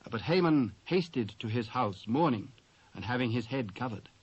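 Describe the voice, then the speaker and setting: A man's voice: mid-range voice, lively intonation, moderate pace, a little mellow, slightly rough, no room sound. A British man narrating scripture from a script, as the storyteller in a dramatised reading. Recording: dull lo-fi cassette audio.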